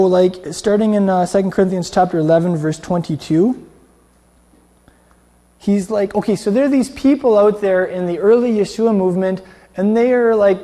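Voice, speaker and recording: A man's voice: high voice, mellow. A man giving an informal, conversational sermon in a colloquial, earnest way, often checking in with listeners. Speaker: preacher. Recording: long hall reverb.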